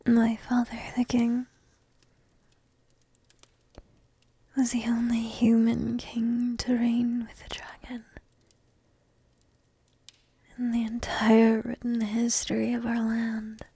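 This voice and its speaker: A woman playing a young dragon princess in an ASMR roleplay, coy, teasing and lovestruck, half-whispering in a soft, intimate, breathy way. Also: on the high side, completely flat delivery, unhurried, few pauses, no room sound, full character voice. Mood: earnest, hopeful.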